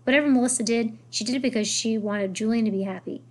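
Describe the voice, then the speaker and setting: A woman reading aloud plays a fierce old French grandmother in a storybook. She is imperious and blunt, pushing out her words emphatically in a high, husky voice and waving away any objection. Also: fast talker, a little mellow, close to an everyday voice. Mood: firm, insistent. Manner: emphatic, dismissive, repeats words.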